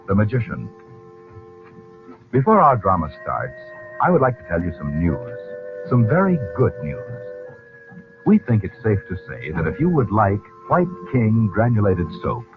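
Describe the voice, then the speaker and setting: A man working as a 1940s radio announcer, reading scripted copy with polished, theatrical salesmanship. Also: fairly low pitch. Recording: tinny old radio broadcast with music. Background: music.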